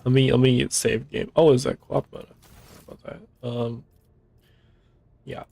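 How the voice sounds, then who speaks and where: A young man streaming a game, hoarse and tired, rambling casually as he thinks aloud. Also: mid-range voice, little pitch movement, unhurried, mellow, dry recording. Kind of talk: casual live-stream commentary.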